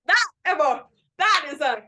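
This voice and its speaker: A woman's voice: sits high, lively intonation, a little slow, sharp tone. A young woman co-hosting a podcast, chatting eagerly and rambling with many fillers over a video call. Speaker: podcast co-host and actor. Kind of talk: casual chat.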